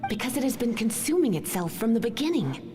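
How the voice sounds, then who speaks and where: A woman voicing a coy, teasing, languid librarian mage in a video game, with a breathy delivery. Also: fairly high pitch, sing-song, fast talker, noisy background. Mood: grave, explanatory. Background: music.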